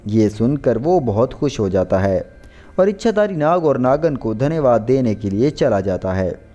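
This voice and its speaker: A man's voice: medium pitch, mellow. A man narrating a scripted children's story as a storyteller.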